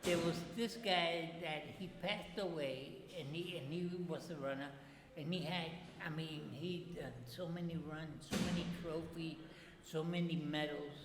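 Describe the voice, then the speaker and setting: An older man telling personal stories casually as an interview guest, in halting speech with repeated words. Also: a little high, fairly even intonation. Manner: halting, repeats words, non-standard grammar. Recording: echoey reverberant room with background noise.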